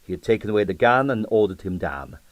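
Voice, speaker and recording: A man's voice: fairly low pitch, animated, quick pace, dry recording. A man reading a comic mystery story as a scripted, dramatic audiobook narration.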